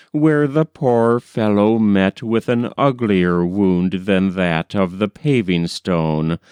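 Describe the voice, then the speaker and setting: A man reading a literary story aloud as an audiobook narrator, in a measured, expressive storytelling manner. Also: fairly low pitch, clean tone, no room sound.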